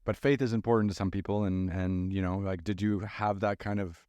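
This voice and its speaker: A man's voice: on the low side, fairly even intonation, fairly quick, a little mellow, clear, resonant tone, dry recording. A man hosting a podcast interview in a studio, talking in a loose, casual way and swearing freely. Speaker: podcast host. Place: recording studio. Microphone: close studio mic.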